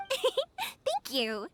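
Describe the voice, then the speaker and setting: An actress voicing a cheerful, energetic young fireworks-maker in an anime-style video game, bubbly and friendly. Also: female voice, very high-pitched, drawn-out words, slight background noise, exaggerated voice.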